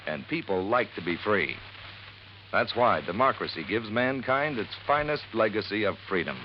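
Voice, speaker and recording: Brief: oratorical male announcer, lowish, animated pitch, earnest vintage public-service read. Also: moderate pace. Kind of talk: 1950s radio public-service announcement, scripted. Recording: muffled old radio recording, faint noise.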